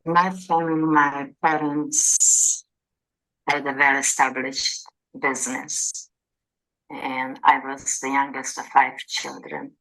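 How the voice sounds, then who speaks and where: Slow, low-voiced older woman. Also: flat delivery, fairly bright tone, low-quality recording. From eastern Czechoslovakia. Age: 60s. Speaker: oral history interviewee. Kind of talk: archival oral history testimony.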